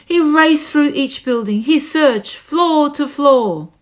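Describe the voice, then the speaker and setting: A woman's voice: sits high, takes it slowly. A woman reading a children's story aloud expressively as narrator. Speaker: storybook reader.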